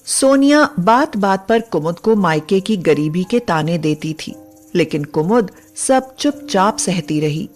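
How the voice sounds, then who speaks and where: A woman's voice: on the low side, animated, a little slow, soft background music. A woman narrating a scripted moral story as a storyteller.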